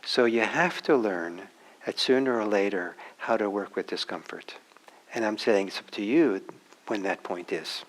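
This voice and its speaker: An older man, a soft-spoken meditation teacher, answering a question in a gentle, halting way with many restarts. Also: lowish voice, low-quality recording. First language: German. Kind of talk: dharma talk answering questions. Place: meditation hall with a group.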